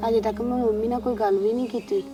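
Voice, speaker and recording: A woman's voice: steady tone, fast, lo-fi sound, natural voice. A woman acting a distressed young married woman who cries and sounds despairing. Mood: subdued. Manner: sobbing, despairing, low subdued delivery. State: crying. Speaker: actor. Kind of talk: scripted drama acting. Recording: distant mic with faint music.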